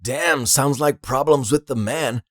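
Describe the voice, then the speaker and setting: A man's voice: medium pitch, a little slow, fairly bright tone, no room sound, clean studio sound, close to an everyday voice. A man voicing a brash, impatient street criminal with punchy slang, in an audiobook.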